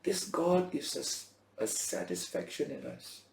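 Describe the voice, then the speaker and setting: A man preaching a Bible lesson as a teacher, in emphatic short phrases with rhetorical questions and a gravelly voice. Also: a little high, very animated, a little slow, a little hiss or hum. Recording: roomy, echoing sound.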